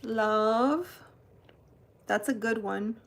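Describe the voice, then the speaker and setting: Woman, from New Jersey, slow unhurried pace, chatty live-stream host. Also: on the high side, gravelly. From New Jersey, United States. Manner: chatty, greets viewers by name while showing cards. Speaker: tarot channel host. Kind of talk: live-stream unboxing chat.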